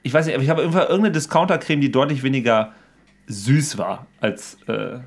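A man's voice: medium pitch, a little fast. A man chatting casually on a podcast, telling anecdotes in an emphatic, mock-indignant way. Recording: group chatter around the voice.

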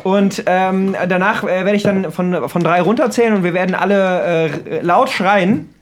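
A young man co-hosting a podcast in casual chat, explaining things in a halting, loosely phrased way. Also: high-pitched, a little hiss or hum. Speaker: podcast co-host. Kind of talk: casual chat among friends.

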